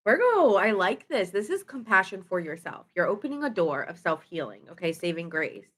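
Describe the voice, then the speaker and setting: A woman's voice: medium pitch, very animated, normal speed, a bit bright. A woman doing a casual live tarot reading, chatty and rambling with fillers and self-corrections, her nose stuffy. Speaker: tarot reader.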